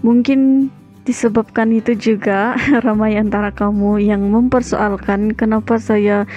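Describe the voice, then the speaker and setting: A woman's voice: medium pitch, fairly even intonation, a little slow, warm tone, muffled recording, faint music behind. A young woman from Sabah, Malaysia, with a Sabahan Malay accent, giving casual reaction commentary and dropping in English phrases. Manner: chatty, mixes in English phrases. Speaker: reaction video host.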